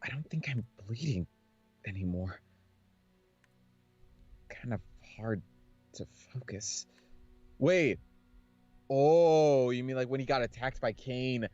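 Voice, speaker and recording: A man's voice: mid-range voice, slow, pauses often, mellow, dull audio, soft background music. A man reading visual novel text aloud as a co-host of a casual gaming session.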